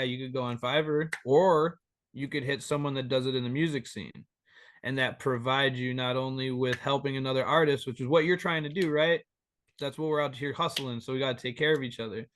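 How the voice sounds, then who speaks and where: A man in his thirties joining a podcast over a remote call as a guest, talking in an animated, rambling way and swearing freely. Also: mid-range voice, slight background noise. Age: 30s. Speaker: band member guest. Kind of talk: casual interview chat.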